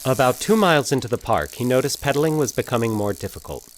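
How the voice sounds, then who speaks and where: A man narrating a scripted fiction story in a measured, dramatic storytelling manner. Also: mid-range voice, expressive, slight background noise.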